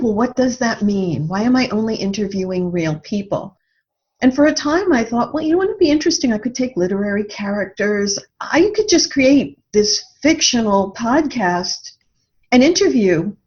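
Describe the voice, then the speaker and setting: An older woman telling personal stories conversationally in an interview, in a reflective and expressive way, voicing her own thoughts as she goes. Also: fairly high pitch. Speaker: podcast guest, writer and radio drama producer. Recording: dull band-limited lo-fi call audio.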